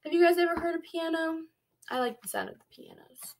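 High-pitched young woman reading a picture book. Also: fairly quick. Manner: asks the listeners questions, explains as reading. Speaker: preschool read-along storyteller. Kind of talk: picture-book read-aloud with asides to young children. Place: small dim bedroom, indoors.

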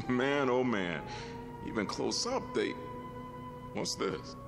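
A man's voice: mid-range voice, sing-song, very slow, creaky, exaggerated voice. An actor playing a chatty, folksy working man who is easily impressed, in a 1960s TV drama. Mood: amazed. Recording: vintage TV soundtrack with music underneath.

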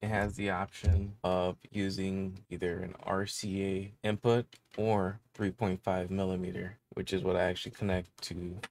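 Low-pitched, gravelly man explaining tech. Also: flat delivery, normal speed, few pauses, a little mellow, a little hiss or hum. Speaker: tech tutorial host. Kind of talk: casual how-to explanation. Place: small indoor room with electronics gear.

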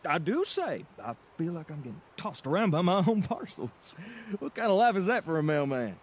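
A man's voice: on the high side, big pitch swings, moderate pace, slight background noise. An actor playing a clumsy, cheerful adult mailman who talks in a folksy drawl and stammers when he gets flustered. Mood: apologetic. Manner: flustered, stammers under pressure. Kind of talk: cartoon voice acting.

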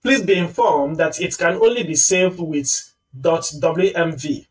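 A man's voice: a little high, a little slow, distant mic. A man hosting a screen-recorded tutorial, patiently explaining each step. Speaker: tutorial host.

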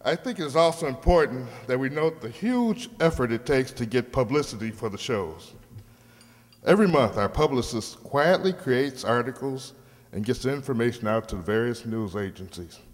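A man's voice: medium pitch, expressive, normal speed. An older man reading a scripted thank-you speech to an audience from a stage. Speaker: program director addressing audience. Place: large hall stage, indoors. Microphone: stand microphone through PA. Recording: reverberant hall.

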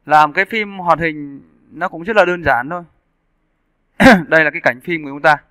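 A man narrating a casual software tutorial as an instructor, explaining step by step and checking in with his listeners. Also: on the high side, moderate pace, smooth, no echo, studio quality. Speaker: 3D animation instructor. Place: indoors, screen-recording voice-over.

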